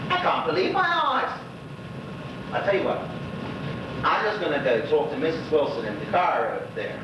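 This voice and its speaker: Man acting a high-voiced, chatty old Bermudian widower. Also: wildly swooping pitch, moderate pace. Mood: amazed. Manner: rambling, exclaiming, talking to unseen listeners. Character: lonely old widower, chatty, gossipy, folksy. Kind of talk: stage monologue in dialect. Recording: very echoey stage, distant mic, noisy, dull.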